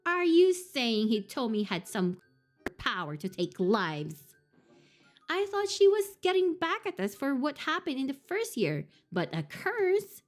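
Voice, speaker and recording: A woman's voice: sits high, sing-song, unhurried, soft background music. A woman voicing a suspicious, agitated teenage schoolgirl who demands answers. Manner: demanding, accusing.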